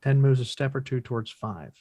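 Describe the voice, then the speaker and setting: A man narrates the action of a script at a table read. His voice is medium in pitch and matter-of-fact, with a dry, echo-free sound. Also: brisk, mellow. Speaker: reader of stage directions.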